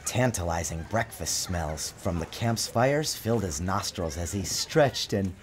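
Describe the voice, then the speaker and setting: A man giving a dramatic, expressive narration of a storybook, theatrical in his phrasing. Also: deep voice, unhurried, a little hiss or hum. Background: music.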